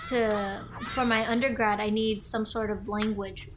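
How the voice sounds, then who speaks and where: A woman's voice: medium pitch, little pitch movement, a little slow, background noise. A young woman co-hosting a gaming show, telling a long story in a casual, meandering way with many restarts. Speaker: co-host of a gaming channel. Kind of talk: casual chat over gameplay.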